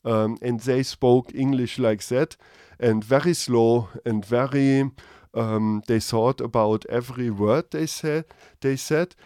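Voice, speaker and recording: Male comic as stilted German speaker of English, slow and halting, thick with hesitations, dry sound. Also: medium pitch, a little mellow, smooth, no echo, lightly acted. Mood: self-conscious. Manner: halting, over-deliberate, many hesitations.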